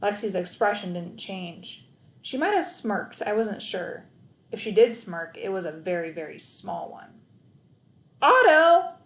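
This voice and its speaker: A woman narrating a children's novel aloud in an expressive, storytelling style. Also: lowish voice, measured pace, vocal fry, reverberant. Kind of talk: expressive children's audiobook narration, first person.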